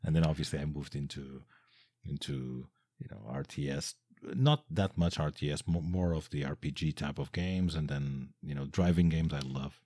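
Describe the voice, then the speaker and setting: A man's voice: rumbling low, fairly even intonation, normal speed, dark tone, slightly rough, dry recording. A man who is not a native English speaker, interviewing a guest and asking long, enthusiastic, rambling questions. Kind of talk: interview conversation.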